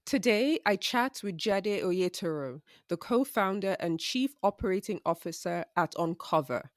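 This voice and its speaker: A woman hosting a podcast, reading a scripted introduction and then asking interview questions in a measured way. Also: lowish voice, animated, no echo, studio quality. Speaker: podcast host.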